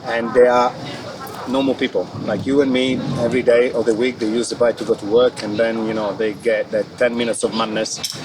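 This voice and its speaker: A man's voice: mid-range voice, little pitch movement, a little fast. A man with an Italian accent, an executive giving an earnest interview at an outdoor event, often restarting phrases. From Italy. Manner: earnest, repeats and restarts phrases, non-native grammar. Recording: far from the mic with loud background noise.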